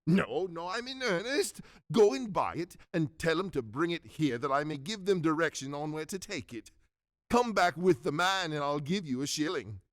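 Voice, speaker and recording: A man playing a reformed old miser in a radio drama, giddy with joy and stammering as he excitedly repeats himself. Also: on the high side, normal speed, dry recording, clean studio sound, close to an everyday voice. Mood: exultant, eager. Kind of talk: theatrical radio drama acting.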